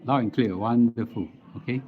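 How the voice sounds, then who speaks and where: A middle-aged man with a Singaporean accent chatting casually as a livestream host, halting and repeating words as he goes. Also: mid-range voice, faint music behind. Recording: dull lo-fi live stream audio.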